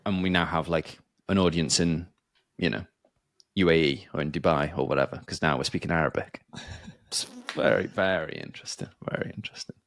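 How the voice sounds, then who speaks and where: Deep-voiced man chatting on a podcast. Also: brisk, vocal fry, fairly bright tone, dry recording, clean studio sound. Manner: rambling, filler-heavy, many restarts. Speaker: podcast host. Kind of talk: casual chat.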